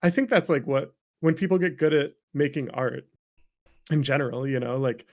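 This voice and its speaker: A man's voice: medium pitch, normal speed, darkish tone. A man talking casually as an interview guest about his work as a game developer, rambling and joking at his own expense. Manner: rambling, self-deprecating, jokey. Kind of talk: casual chat.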